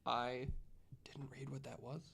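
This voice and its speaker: Man, mid-pitched voice, podcast panelist, casual storytelling from notes. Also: level tone, a little slow, creaky, fairly bright tone, breathy edge.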